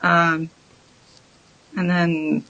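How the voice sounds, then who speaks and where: A woman answers interview questions slowly and thoughtfully, filling pauses with soft ums. Her voice carries a light gravelly edge. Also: fairly low pitch, little pitch movement, muffled recording. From Syria. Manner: hesitant, thoughtful, many ums. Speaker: guest. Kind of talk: casual interview chat.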